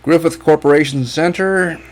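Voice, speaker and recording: A man's voice: on the high side, normal speed. A man from Guyana talking through a screen share in a casual, blunt and mocking rant, cursing freely as he walks viewers through what he finds. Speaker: online income youtuber.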